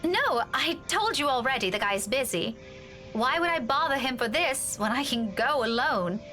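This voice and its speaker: A woman's voice: high voice, lively intonation, normal speed, bright, forceful tone, faint music behind. An actress voicing a defensive, independent young woman in a horror visual novel. She sounds insistent, then hesitant when pressed.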